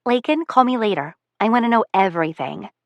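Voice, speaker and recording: A woman voicing a supportive teenage girl friend who talks in a lively, encouraging way. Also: medium pitch, brisk, no echo, muffled recording, natural voice.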